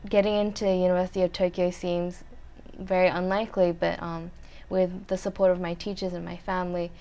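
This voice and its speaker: Young adult woman, fairly low pitch, measured, thoughtful, pauses often. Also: level tone, mellow. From Australia. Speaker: interview guest, university student. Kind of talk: casual interview answers.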